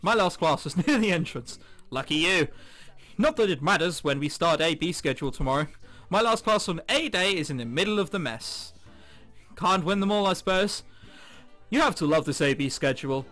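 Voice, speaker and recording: A man with a bright, fairly high voice reads a visual novel aloud for a gaming video. His delivery swings around in pitch, slipping between narration and jokey casual asides. Soft game music plays behind him. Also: animated, normal speed. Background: game background music.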